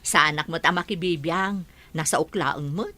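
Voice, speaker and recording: A woman's voice: low-pitched, moderate pace, pushed and bright. A woman playing an opinionated village gossip in a radio comedy drama.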